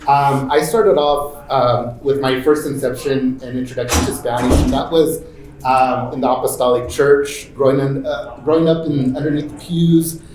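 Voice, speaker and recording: A man moderating a panel and then sharing his own story in loose, halting talk full of fillers and restarts. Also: mid-range voice, fairly even intonation, a little mellow, echoey room, low-quality recording. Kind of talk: casual conference talk. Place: conference room.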